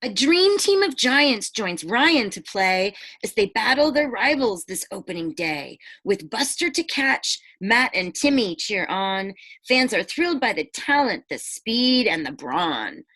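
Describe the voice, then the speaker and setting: A woman teacher reads a bedtime picture book to young children in a bright, mid-pitched voice. Her delivery is expressive and aimed at children. She moves between reading aloud and chatty asides that explain things or put questions to her listeners. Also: unhurried.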